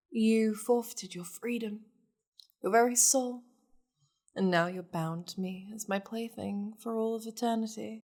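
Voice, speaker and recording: Woman playing a possessive female demon, intimate ASMR roleplay, teasing, domineering, husky and breathy, creaky low edges. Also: darkish tone. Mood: seductive possessive. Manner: slow, breathy, teasing, often dropping into whispers.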